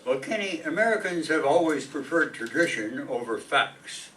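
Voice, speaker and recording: A man playing a know-it-all relative who speaks in a deliberate, informative way in a radio comedy play. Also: fairly high pitch, animated, sharp tone. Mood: matter-of-fact. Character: know-it-all male relative reciting history facts. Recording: noisy, distant stage microphone.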